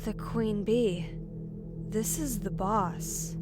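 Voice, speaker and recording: A woman's voice: mid-range voice, drawn-out words. A woman playing a serious, commanding swordswoman who leads a party in an amateur audiobook drama. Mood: calm, serious. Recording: loud music bed.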